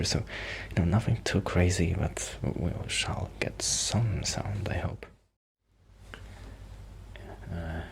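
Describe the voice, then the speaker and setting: A man playing a shy, modest musician friend in a hesitant, soft-spoken ASMR roleplay. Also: sits low, gravelly, slight background noise. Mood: modest, obliging. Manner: hesitant, halting, apologetic.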